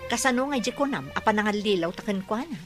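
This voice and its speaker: A woman's voice: medium pitch, animated, fast, music in the background, close to an everyday voice. A woman with a Filipino Ilocano accent playing an imperious, scheming woman in an audio drama. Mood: impatient, demanding. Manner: imperious, impatient, demanding. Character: scheming, bossy woman plotting against a relative.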